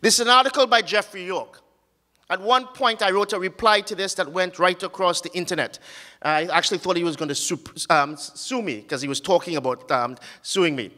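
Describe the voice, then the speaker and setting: A man's voice: high voice, pushed and bright. Earnest man lecturing in a reverberant hall. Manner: earnest, halting, with fillers and restarts. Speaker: conference speaker. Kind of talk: academic conference talk with slides. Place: conference hall.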